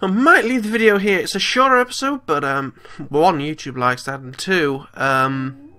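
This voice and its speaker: Bright, high-voiced man gaming. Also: expressive, normal speed. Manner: joking, blurts reactions. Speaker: gaming let's player. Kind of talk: casual gameplay commentary. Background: video game audio and music.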